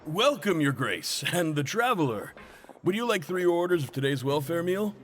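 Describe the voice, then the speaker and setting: A man's voice: a little high, big pitch swings, moderate pace, a bit bright, slight background noise. A man playing a cheerful, deferential cafeteria cook in a video game, polite and eager to serve. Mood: welcoming, apologetic. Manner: polite, obliging, salesman-like. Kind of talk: video game character voice acting.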